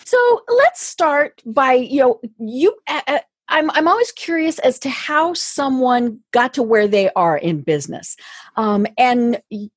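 High-pitched, animated woman podcast host. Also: a little slow, husky, no echo, clean studio sound. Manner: enthusiastic, gushing. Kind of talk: conversational interview hosting.

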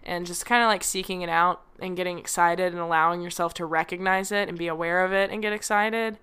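A young woman talks through her own podcast at home, rambling and joking at her own expense with plenty of fillers. Her voice sits a little low and sounds smooth and clear. She keeps drifting back from her home microphone as she talks. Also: steady tone, a little fast, fairly bright tone. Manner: rambling, self-deprecating, many fillers. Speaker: podcast host. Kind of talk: casual solo podcast. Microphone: home microphone, drifting away from it.

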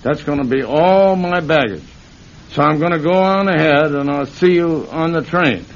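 A man's voice: on the high side, normal speed, close to an everyday voice. A man playing a cocky middle-aged American con man and thief in an old-time radio crime drama, slangy, brash and bossy. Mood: instructing, cocky. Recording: muffled, hissy old radio broadcast.

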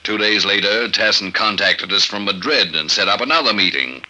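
An actor playing a businesslike government commissioner, brisk and authoritative, in 1950s radio drama style. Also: male voice, on the low side, expressive, sharp tone, poor audio. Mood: urgent, businesslike. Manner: brisk, authoritative, matter-of-fact. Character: businesslike male government commissioner briefing his agent.

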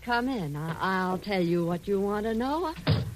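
A woman's voice: mid-range voice, lively intonation, measured pace, darkish tone, natural voice. An actress playing a sly, sophisticated female blackmailer with an arch, mocking drawl in 1940s radio drama style. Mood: wary. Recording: old radio recording, faint hiss.

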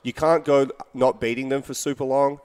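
A man's voice: medium pitch, steady tone, normal speed, a little mellow, clear, resonant tone. A young man with a non-American English accent talks sport in a loose, chatty way. He stacks up the same words for emphasis as he goes. His voice carries a long reverb tail, as if the room is large and bare. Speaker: podcast co-host. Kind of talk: casual sports chat.

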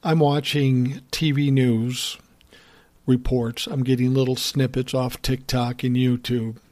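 A man's voice: mid-range voice, flat delivery, slow pace, a little mellow. A man hosting a political podcast, giving blunt, profane opinion commentary and answering listener messages in a conversational way.

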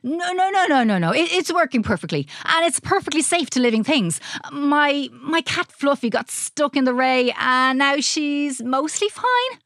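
Woman playing an excitable, high-pitched old mad scientist. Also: moderate pace, hardly any pauses, no room sound, clean studio sound, exaggerated voice. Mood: flustered. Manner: stammering, exclamatory, animated.

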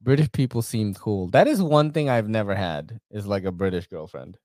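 A man from the Philippines hosts a podcast in a relaxed, profanity-laced chat while reacting to clips. His pitch swings widely and he laughs often. The sound is dry and close. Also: normal speed, dry recording. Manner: laid-back, swears freely, laughs often. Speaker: podcast host. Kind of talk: casual chat reacting to videos.

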